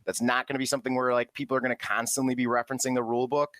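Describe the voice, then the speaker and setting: A man's voice: mid-range voice, flat delivery, rapid-fire, a bit bright, no room sound, clean studio sound. A man co-hosting a casual gaming podcast, talking animatedly, swearing casually and stumbling into restarts. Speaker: podcast co-host. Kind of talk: casual chat.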